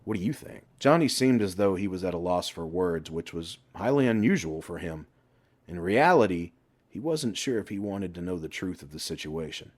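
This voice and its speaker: A man's voice: deep voice, animated, normal speed. A man reading a scripted story aloud as an audiobook narrator.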